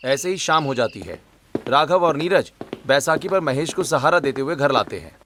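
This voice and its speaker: A man's voice: on the high side, expressive, normal speed, fairly bright tone, slight background noise. A man reading scripted narration for a cartoon family story.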